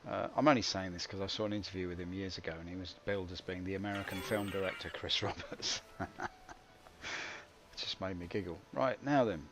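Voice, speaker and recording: A man's voice: low-pitched, brisk. A man streaming live gameplay, grumbling wryly and poking fun at himself as he plays. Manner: grumbling, wry and self-mocking. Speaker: game streamer. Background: game music.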